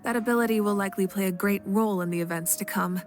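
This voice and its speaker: A woman's voice: mid-range voice, fast, music in the background, lightly acted. A woman playing a composed, commanding female leader in a dramatic video game.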